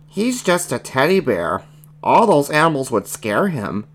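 Storybook bear cub, played by a woman: worried, soft-hearted, a little glum, slow delivery. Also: medium pitch, very animated, soft background music, voice pushed up. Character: gentle, worried young toy-loving bear cub.

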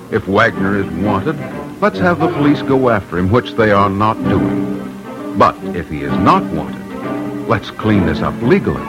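Low, animated male old-time radio crime host. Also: low-quality recording. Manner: emphatic, deliberate, dramatic phrasing. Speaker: host and reporter of a crime documentary radio show. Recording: old radio recording with music.

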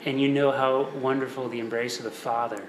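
A man's voice: mid-range voice, flat delivery, brisk, roomy sound, crowd noise, poor audio. A man hosting a faith conversation, affirming his guest with short agreements and amens.

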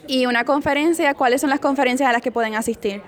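A woman's voice: high voice, fast. A woman, interviewing a guest with short, friendly questions.

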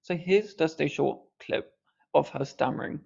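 A man's voice: on the high side, moderate pace, creaky, a little mellow, breathy edge, highs cut off. A man hosting a podcast, speaking earnestly with a mild stammer on the odd word. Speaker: podcast host. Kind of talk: reflective podcast commentary.

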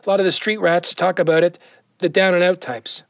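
A man's voice: high-pitched, little pitch movement, fairly quick, gravelly, dry recording, clean studio sound. A man playing an eager, flippant younger police detective in an audio drama, casual and awkwardly teasing. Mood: casual curiosity.

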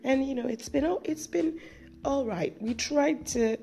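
A woman's voice: sits high, a little slow, gravelly, dark tone, a little hiss or hum. A woman hosting her own podcast, still getting over a cold with a chesty cough, telling a rambling story in a casual, chatty way with frequent restarts and laughs. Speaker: podcast host.

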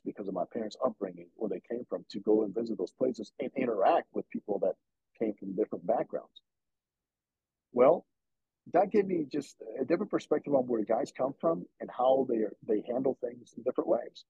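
A man's voice: medium pitch, normal speed, vocal fry, slightly rough, no room sound, lo-fi sound. A man from the Dominican Republic, a former player turned broadcaster, earnestly telling stories in an interview and acting out quotes. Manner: earnest, animated, quotes others in voices. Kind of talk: conversational interview storytelling.

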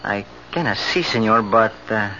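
Bright-voiced suave young lover. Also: male voice, lowish voice, lively intonation, normal speed. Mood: mocking amusement. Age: young adult. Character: cocky, mocking young Latin lover, amused and suave. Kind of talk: 1970s radio drama acting. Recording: muffled, noisy vintage radio broadcast.

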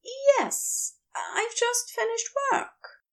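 A woman's voice: very high-pitched, drawn-out words, a bit bright, voice pushed up, exaggerated voice. A woman voicing a polite, fussy, hopeful man with a prim, hesitant way of talking in an audiobook story. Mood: polite, awkward. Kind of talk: audiobook character voice.

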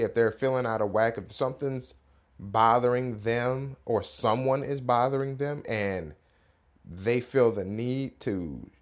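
A man in his thirties hosting a casual morning podcast, his voice hoarse and a little raspy, rambling with fillers. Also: medium pitch. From Rochester, New York area. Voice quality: relaxed, rambling delivery with many fillers. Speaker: podcast host.

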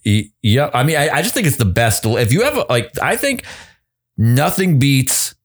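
A podcast co-host and audio editor in a casual chat, rambling with many restarts and a gravelly vocal fry, on a close studio mic. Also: male voice, mid-range voice, sing-song, moderate pace.

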